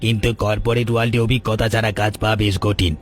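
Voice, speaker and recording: Low, brisk, skeptical male interviewer acted. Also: little pitch movement, soft background music, lightly acted. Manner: formal, skeptical. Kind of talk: cartoon voice acting.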